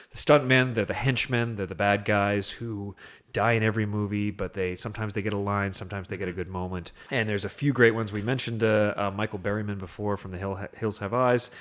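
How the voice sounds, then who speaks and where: A man with a slightly low voice talks casually about movies as a podcast co-host. He goes along haltingly, often repeating a word while he looks for the next. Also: fairly bright tone. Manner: halting, repeats words as he searches. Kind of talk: casual film discussion.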